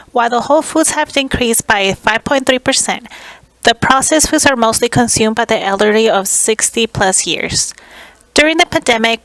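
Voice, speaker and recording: A woman presents scripted material as a student would for a class. Her voice sits a little high, and the sound is dry with hardly any room in it. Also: a bit bright, dry recording, rough recording.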